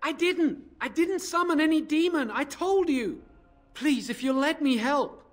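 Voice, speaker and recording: A man's voice: very high-pitched, lively intonation, measured pace. A man playing a guilty, apologetic mage in a fantasy video game, earnest and pleading. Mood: defensive, desperate.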